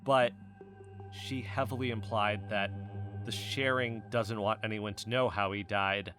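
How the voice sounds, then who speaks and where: An actor playing an earnest, defensive amateur investigator in a naturalistic fiction podcast, speaking insistently as if in real conversation. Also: male voice, lowish voice, fairly even intonation, measured pace, over music, close to an everyday voice.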